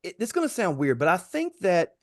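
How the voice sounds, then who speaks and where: A man's voice: high-pitched, wildly swooping pitch, brisk, dry recording, clean studio sound. A man hosting a casual podcast interview, putting questions to a guest in everyday conversational speech.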